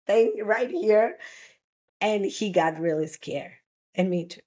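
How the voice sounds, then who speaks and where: A woman from Costa Rica in her thirties, telling her personal story as a guest in a halting, earnest way with many restarts. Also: mid-range voice, very animated, a little mellow.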